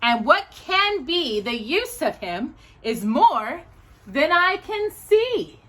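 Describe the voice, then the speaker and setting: A woman hosting a children's storytime, reading aloud in an animated, sing-song manner. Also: high voice, slow.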